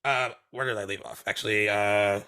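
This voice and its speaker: A man's voice: fairly low pitch, steady tone, normal speed, sharp tone, clear, resonant tone. A man co-hosting a movie podcast in casual chat, rambling with many fillers and restarts, his voice recovering from laryngitis. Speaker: podcast co-host. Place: Hermosa Beach, California.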